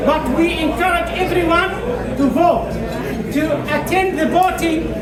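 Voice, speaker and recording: Man, imam, high-pitched voice, slow halting delivery, repeats himself, echoey hall, distant mic, crowd murmuring behind. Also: fairly even intonation. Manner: halting, repeats phrases while searching for words. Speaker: imam of a prayer center. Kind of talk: community address to election candidates. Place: large indoor hall at a public event.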